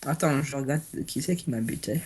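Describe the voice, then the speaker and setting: A young man with a cold chatting slowly and crudely while gaming, hesitant with fillers. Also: medium pitch, a little mellow, husky. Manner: slow, hesitant, crude banter. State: stuffy, has a cold. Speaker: gaming guest. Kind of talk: casual gaming chat.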